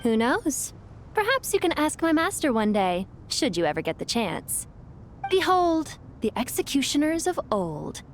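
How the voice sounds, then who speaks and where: Gloating ancient female spirit for a video game: higher-pitched, very lively, mocking and grand. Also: unhurried, slight background noise, heavily acted. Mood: haughty taunting. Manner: taunting, grandiose. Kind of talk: video game character acting.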